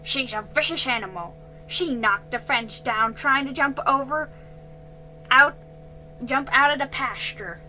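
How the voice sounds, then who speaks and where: A woman voicing a gruff, bad-tempered older male tanner who growls and shouts threats. She pushes her voice loud and hard for him. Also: sits high, a little slow, faint music behind, pitched above the performer's own voice, full character voice, poor audio. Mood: growling.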